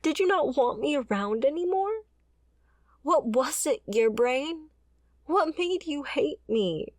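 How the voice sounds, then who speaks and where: Woman acting a slow-talking, bitter female mercenary. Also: high-pitched, animated, no echo, clean studio sound. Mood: hurt, furious. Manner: confrontational, sardonic, swearing. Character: fiery, bitter female mercenary, wounded former bodyguard. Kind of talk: dramatic ASMR roleplay acting.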